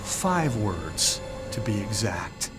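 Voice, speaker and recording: A man reading his own story aloud in a measured, suspenseful storytelling manner. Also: lowish voice, big pitch swings, music in the background. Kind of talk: dramatic audiobook narration.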